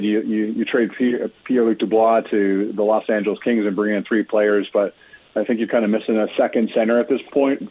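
A man with a husky voice giving conversational sports analysis as a guest, wordy and often restarting his sentences. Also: lowish voice, no echo. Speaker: sports reporter, show guest. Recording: tinny, narrow phone-like line.

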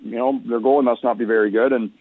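Over a narrow, phone-like radio line, a man with a medium-pitched voice gives sports analysis as a guest. He speaks conversationally and explains his points in a measured way. The sound is dry, with no room echo. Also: steady tone.

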